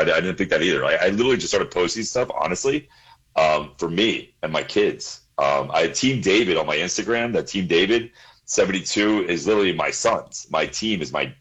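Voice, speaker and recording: A man's voice: sits low, a bit bright, slightly rough, lo-fi sound. A man in his forties, a guest in a casual interview, talking earnestly, repeating himself and laughing easily.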